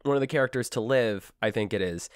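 A man's voice: mid-range voice, fast talker, no echo, clean studio sound. A man co-hosting a podcast, explaining things in a rambling, casual way with frequent restarts. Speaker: podcast co-host. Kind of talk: casual chat.

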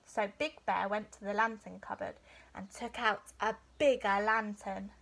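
A woman's voice: medium pitch, fairly even intonation, measured pace, vocal fry, fairly bright tone, low-quality recording. A young adult woman narrating a children's bedtime story expressively, like a teacher reading aloud indoors. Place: small furnished room indoors.

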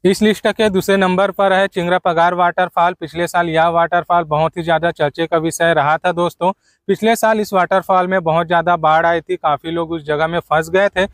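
A man's voice: sits high, fairly even intonation, moderate pace, a bit bright, no room sound. A man from Chhattisgarh in India, narrating a scripted travel guide and addressing viewers directly as friends.

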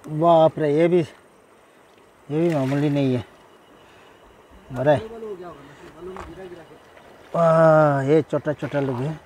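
A man's voice: on the high side, fairly even intonation, drawn-out words, long pauses, a little mellow, low-quality recording. A man, chatting casually to camera as a vlogger, repeating himself and often exclaiming. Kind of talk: casual vlog narration to camera. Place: outdoors by a jungle river in the rain.

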